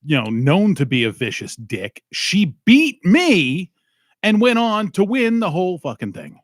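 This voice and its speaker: A man's voice: high voice, wildly swooping pitch, unhurried, no room sound, studio quality. A man hosting a weekly comedy podcast, swearing freely and teasing his co-host in loose banter. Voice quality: profane, teasing. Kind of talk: crude casual banter.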